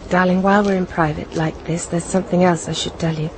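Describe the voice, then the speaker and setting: A woman's voice: on the low side, little pitch movement, fast, background noise, close to an everyday voice. An actress playing a British housewife and mother working as a courier spy in a radio drama, composed but tense, with a British accent. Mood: earnest, confiding. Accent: British English.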